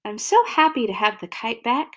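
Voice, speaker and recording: A woman voicing a friendly, excitable young boy from a children's storybook, exclamatory and earnest. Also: a little high, normal speed. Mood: grateful.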